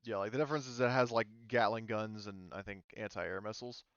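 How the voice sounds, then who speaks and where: Low, smooth-voiced man running a game. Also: fairly even intonation, a little fast, a bit bright, dry recording, dull audio. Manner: informal, swearing. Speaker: game master. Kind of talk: casual tabletop game discussion.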